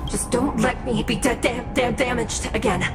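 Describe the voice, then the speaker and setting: A woman voicing a timid mechanical bird that houses a girl's spirit in a game, with a halting, glitchy stutter. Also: fairly high pitch, steady tone, natural voice. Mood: halting, anxious. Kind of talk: dramatic video game dialogue. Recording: loud music behind the voice.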